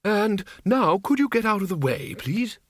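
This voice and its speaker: A man's voice: big pitch swings, measured pace, dry recording, studio quality, full character voice. A man voicing an elderly undead wizard, quavering, anxious and polite, in a storybook reading. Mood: nervous. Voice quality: quavering, high.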